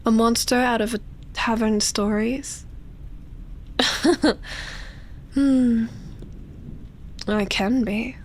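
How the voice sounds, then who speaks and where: Woman acting as ancient female vampire, high breathy voice, whispery, seductive and possessive, extremely slow teasing ASMR roleplay. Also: a little hiss or hum, low-quality recording. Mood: intimate, teasing. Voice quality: breathy, often dropping into a whisper. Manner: slow, drawn-out, teasing, with lingering pauses.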